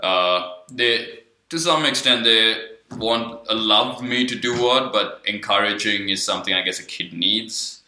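Sharp-toned Sri man, rambling. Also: lowish voice, a little slow, hardly any pauses. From Sri Lanka. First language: Sinhala. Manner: rambling, lots of fillers and swearing. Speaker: guest artist. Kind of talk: casual podcast chat. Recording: echoey room.

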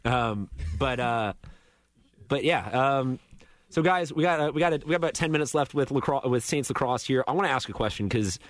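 A man's voice: mid-range voice, animated, normal speed, no room sound. Guy hosting sports radio, rambly and jokey, dead dry studio mic. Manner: rambling, joking, many fillers. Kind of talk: casual sports radio banter. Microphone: studio microphone.

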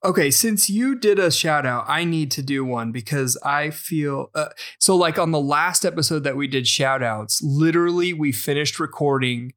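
A man's voice: a little high, moderate pace, hardly any pauses, a bit bright. Casual male podcast host. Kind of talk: casual podcast chat, reading out a listener message.

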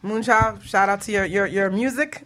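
A woman's voice: medium pitch, steady tone, moderate pace. A woman hosting a radio show in an informal, conversational style. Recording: loud background noise with clicks.

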